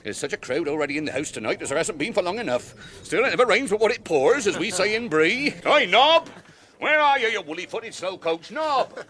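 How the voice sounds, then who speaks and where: An actor playing a flustered, forgetful innkeeper in a radio drama, rambling and folksy. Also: male voice, high-pitched, lively intonation, a little fast, fairly bright tone. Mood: scolding. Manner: rambling, distracted, folksy, breaks off mid-thought. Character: flustered, busy, forgetful male innkeeper, bustling and hospitable.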